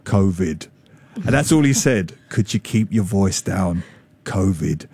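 A man in his fifties talks on a radio show in a mid-pitched, loud, lively voice. He tells jokey stories in a chatty way. The sound is close and dry. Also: takes it slowly, breathy edge, no room sound. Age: 50s. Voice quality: slightly loud voice. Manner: chatty, jokey, animated storytelling. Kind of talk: casual radio talk. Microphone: studio microphone.

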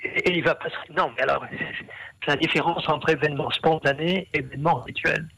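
Hesitant man with a gravelly voice. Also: on the high side, steady tone, fairly quick, sharp tone, poor audio. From half Brazilian. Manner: hesitant, many fillers and restarts. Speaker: interview guest, scholar. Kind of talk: explanatory interview talk.

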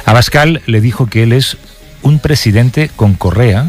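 A man's voice: medium pitch, unhurried, soft background music. A man with a Spanish accent from Spain hosting a satirical radio show in a conversational style. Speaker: radio host.

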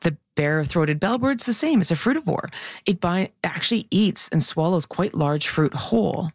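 A woman science columnist explaining research in a lively, animated radio chat, with a naturally loud voice. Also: on the low side, moderate pace, dry recording, dull audio.

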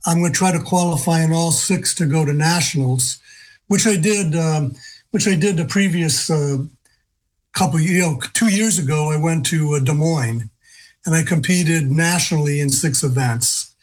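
A man in his early seventies with a somewhat high voice for a male speaker. He talks conversationally as an interview guest, in a halting way with frequent hesitations. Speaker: interview guest, senior games athlete.

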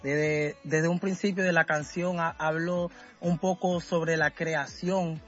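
A man from Venezuela talking casually as a guest in a radio interview, halting and often searching for his words. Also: fairly high pitch, steady tone, a bit bright, muffled recording, faint music behind. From Caracas, Venezuela. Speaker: musician being interviewed.

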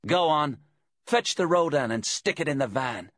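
Commanding female crook for a crime audiobook, played by a man, high-pitched, husky, barking mocking orders. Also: lively intonation, moderate pace, no room sound, clean studio sound. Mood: bossy. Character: commanding, sneering female accomplice with a gun.

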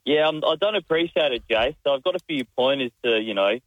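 A man's voice: medium pitch, lively intonation, fast, dry recording, narrow, tinny audio. A man calling in to a radio show, good-humoured and mock-defensive in casual banter. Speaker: caller, electrician.

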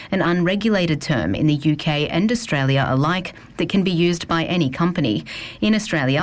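A woman delivering a scripted radio news report in a measured, formal way. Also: low-pitched.

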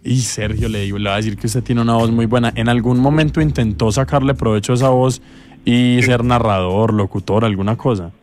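A man's voice: medium pitch, fairly even intonation, a little fast, darkish tone. A man with a Colombian accent hosting a radio show, joking playfully and using casual slang. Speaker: host.

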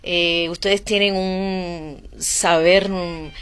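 A woman's voice: on the low side, fairly even intonation, very slow, a bit bright, clear, resonant tone. A woman with a Cuban accent, a singer and actress, chatting as a radio guest with frequent hesitant fillers. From Cuba. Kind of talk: casual radio interview. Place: radio studio booth.

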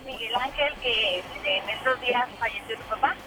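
A woman's voice: a little high, normal speed, loud music behind the voice. A Mexican woman in her thirties calling into a radio show by phone, chatting cheerfully and joking with the host. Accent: Mexican Spanish. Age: 30s. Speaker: caller. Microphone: phone call.

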